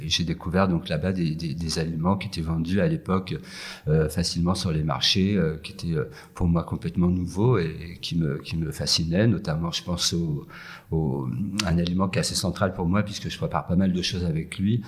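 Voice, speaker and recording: A man's voice: low-pitched, fairly even intonation, brisk, husky. A man from France answering interview questions casually, hesitating often and restarting sentences. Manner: hesitant, full of "euh" and restarts. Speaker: guest, food entrepreneur. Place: empty yoga room with echo.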